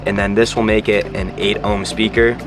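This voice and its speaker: A man's voice: on the low side, level tone, normal speed, over music. A man hosting a DIY car tutorial, giving plain, informal step-by-step instructions in a casual how-to style.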